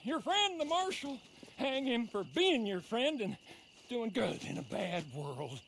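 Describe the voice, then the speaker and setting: A sly old American frontier drifter, high and creaky. He drawls in a folksy, rascally way in a Western game scene. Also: animated, a little slow, a bit bright, a little hiss or hum, exaggerated voice. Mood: grim, taunting. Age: older. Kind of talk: video game cutscene acting.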